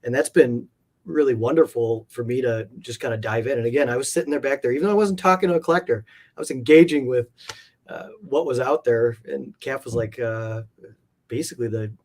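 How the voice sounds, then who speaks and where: A man's voice: medium pitch, brisk, darkish tone. A man speaking as an interview guest, chatting casually in a rambling, reflective and enthusiastic way. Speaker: interview guest, art collector. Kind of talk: casual chat.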